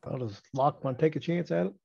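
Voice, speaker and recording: A man's voice: on the high side, fast talker, creaky, warm tone, no echo. A man playing a tabletop role-playing game, talking casually in a slightly dull, dry recording.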